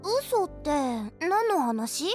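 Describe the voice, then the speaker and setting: A woman's voice: high-pitched, big pitch swings, unhurried, a little mellow, faint music behind. A woman playing an innocent, curious little girl in a visual novel, with a childlike, guileless delivery. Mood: puzzled. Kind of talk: cute visual novel voice acting.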